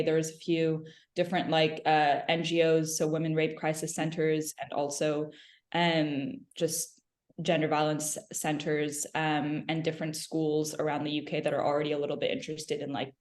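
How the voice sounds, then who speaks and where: A woman's voice: low voice, little pitch movement, normal speed, a little mellow. A woman from Lebanon, a film director answering questions in a conversational interview. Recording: roomy, echoing interview sound.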